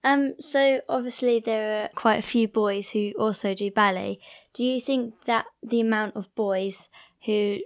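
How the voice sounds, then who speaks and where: A woman's voice: fairly high pitch, steady tone, measured pace, clear, resonant tone. A woman interviewing a guest, asking hesitant questions and giving brief agreeing replies.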